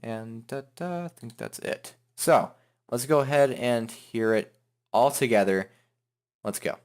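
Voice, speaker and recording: A man hosting a casual, improvised software tutorial, talking in an informal, rambling way. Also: mid-range voice, a little slow. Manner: informal, rambling, hums filler syllables while working. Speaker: tutorial host.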